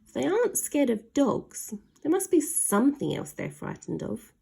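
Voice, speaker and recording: A woman voicing a plucky, quick-thinking young boy in a children's storybook, with urgent, exclaiming delivery. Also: high voice, huge pitch swings, gravelly, warm tone, slightly rough, voice pushed up, full character voice.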